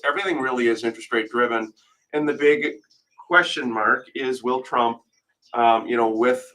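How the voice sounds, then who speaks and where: An American male talk radio host speaks at a medium pitch. His voice is slightly froggy, as he is battling a cold. He talks in a conversational way to listeners and his co-host. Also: a bit bright, poor audio. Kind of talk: live call-in real estate radio talk.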